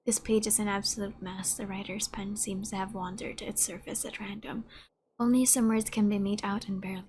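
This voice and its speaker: A woman streamer softly and dramatically reading game text aloud during a playthrough, with some hesitation. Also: mid-range voice, fairly even intonation, a little fast, a little hiss or hum.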